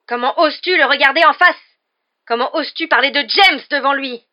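Woman doing raging guy out for revenge, loud growly accusing delivery, storybook, slightly muffled. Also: medium pitch, steady tone, normal speed, lightly acted. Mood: enraged. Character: furious, vengeful man, roaring and growling accusations.

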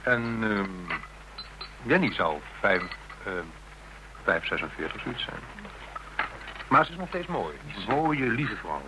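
A formal, earnest male legal adviser with a Netherlands Dutch accent. His voice is on the low side, and he speaks in a precise, wordy and hesitant way. It comes from a radio play with clearly audible noise. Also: very animated, slow pace, long pauses. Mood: fond, wistful. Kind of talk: radio drama dialogue. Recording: noisy, hissy radio drama recording.

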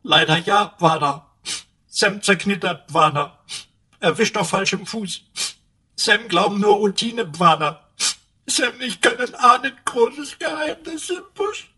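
A man's voice: high voice, expressive, slow, bright, forceful tone, husky, full character voice. A man playing a servile, mocking computer sidekick in a German radio play, talking with pompous mock submission. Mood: sheepish.

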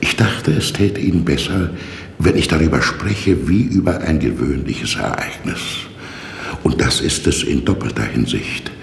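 An actor playing a polite, curious and dryly sardonic novice jailer, matter-of-fact, in 1960s German radio drama style. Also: male voice, very deep voice, animated, a little slow, vocal fry, exaggerated voice. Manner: courteous, dry, matter-of-fact. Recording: echoey, reverberant, noisy old radio recording.

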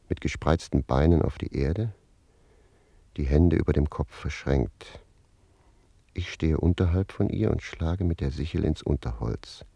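Sly easygoing servant in a radio play, really low mellow voice, wry storyteller. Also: male voice, gravelly, breathy edge, no room sound, heavily acted. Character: sly, easygoing servant Jakob, spinning bawdy tales.

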